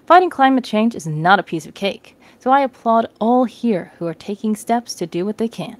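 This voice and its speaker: A woman's voice: fairly high pitch, lively intonation, moderate pace, close to an everyday voice. A woman voicing an earnest, plain-spoken man who runs a recycling center in a story.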